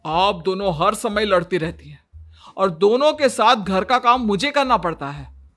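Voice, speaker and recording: A man's voice: high voice, animated, measured pace, fairly bright tone, soft background music. A man playing an exasperated, put-upon young Indian husband and son in a cartoon story, with a weary, complaining manner.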